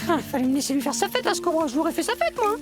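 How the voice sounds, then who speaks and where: A woman voicing a small, boastful, hot-headed male mongoose in a French cartoon dub, excitable and stammering. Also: very high-pitched, rapid-fire. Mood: agitated, defiant. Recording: music and noise under dialogue.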